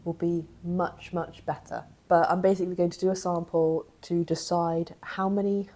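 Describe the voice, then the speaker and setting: A young woman, croaky from a cough, chatting casually in a knitting vlog as she thinks aloud. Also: on the low side, flat delivery, moderate pace, warm tone. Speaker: knitting vlogger.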